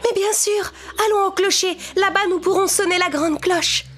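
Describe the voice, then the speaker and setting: A woman's voice: very high-pitched, steady tone, normal speed, a bit bright, soft background music, voice pushed up. A woman voicing Cinderella as a sweet, earnest young princess in a children's cartoon story. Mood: worried, curious.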